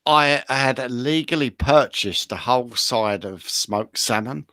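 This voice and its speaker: A man chats casually as a show contestant in a bright, forceful voice. His pitch is average and the recording is close and dry. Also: measured pace, no room sound. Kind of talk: casual chat, anecdotes.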